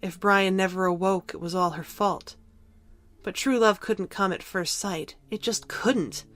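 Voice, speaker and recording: A woman's voice: medium pitch, unhurried, a bit bright, soft background music. A woman narrator reading scripted fiction for an audio drama.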